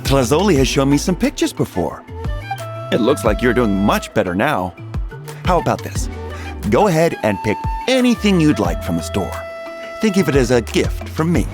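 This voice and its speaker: A jovial shopkeeper, very expressive. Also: male voice, medium pitch, moderate pace, over music. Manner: jovial, chuckling. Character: jovial generous male shopkeeper. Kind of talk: game voice acting.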